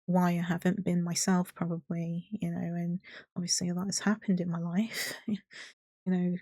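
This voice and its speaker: Woman streamer talking candidly to followers, rambly and hesitant, flat pitch, very dry close sound. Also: moderate pace, no room sound. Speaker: streamer and video creator. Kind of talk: personal audio update to followers, unscripted talk.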